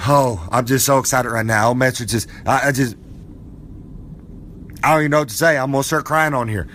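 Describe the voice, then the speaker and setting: A man's voice: medium pitch, brisk, fairly bright tone, a little hiss or hum, close to an everyday voice. A man voicing a boastful, folksy old male wrestler character with a drawl who rambles and preaches. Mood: overjoyed. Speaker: podcast character segment.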